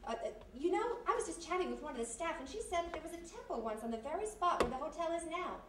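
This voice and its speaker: An actress playing a sardonic, snappy, quick-thinking detective's gal Friday in an old-time radio mystery performed live. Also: female voice, very high voice, big pitch swings. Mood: impressed, chatty. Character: wisecracking, suspicious, quick-thinking gal Friday, former vaudevillian. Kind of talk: live 1940s-style radio comedy-mystery acting. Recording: echoey room, distant mic, faint noise.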